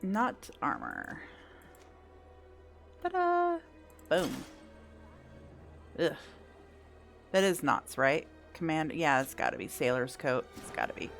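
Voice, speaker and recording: A woman's voice: deep voice, huge pitch swings, slow pace, gravelly, pauses often, faint music behind. A woman giving casual video game playthrough commentary, thinking aloud with mild exclamations.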